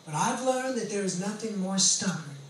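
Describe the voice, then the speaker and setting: A man's voice: high voice, expressive, normal speed. American man preaching in an echoey space. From California, United States. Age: late 40s. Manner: earnest, emphatic, repeats phrases. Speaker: pastor and ex-convict preacher. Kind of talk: testimony sermon. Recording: roomy echo, distant mic, background noise.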